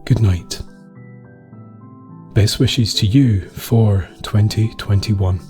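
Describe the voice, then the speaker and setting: A Scottish man reading a scripted dark tale as a storyteller, with solemn, deliberate phrasing. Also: fairly low pitch, soft background music.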